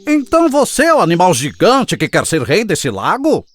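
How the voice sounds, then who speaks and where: Pompous, boastful cartoon frog played by an actor, swooping pitch. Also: wildly swooping pitch, moderate pace. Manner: pompous, commanding. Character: arrogant boastful bullfrog who wants to be king. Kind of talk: children's cartoon fable acting. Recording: music behind the voice. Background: music.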